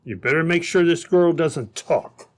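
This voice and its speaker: A man's voice: on the high side, unhurried, close to an everyday voice. A man playing a suspicious, jumpy gold thief in an old-time radio drama, blunt and insistent. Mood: wary, insistent.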